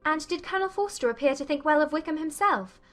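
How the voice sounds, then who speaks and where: A woman plays a young Regency gentlewoman in an audiobook drama, with a very high voice. She is earnest, urgent and probing. Faint music sits behind her. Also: lively intonation, fast. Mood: anxious, probing.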